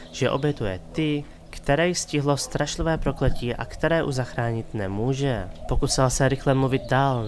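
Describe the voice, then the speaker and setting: A man's voice: medium pitch, background noise. A man hosting and narrating a scripted, dramatic fantasy story. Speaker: narrator and host. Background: faint background music.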